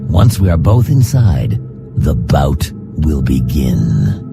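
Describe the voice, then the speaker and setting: A man voicing a hard, proud reptilian assassin trainer in a fantasy game, gravelly and growling, speaking in a measured, formal, stern manner. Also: a bass voice, big pitch swings, over loud music, full character voice. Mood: stern, menacing. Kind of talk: fantasy game character dialogue.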